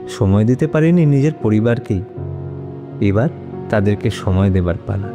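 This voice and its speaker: An actor playing a kindly retired grandfather who tells stories of his past in an indulgent, unhurried manner for an animated series. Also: lowish voice, natural voice. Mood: reflective. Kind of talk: animated audio story narration. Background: background music.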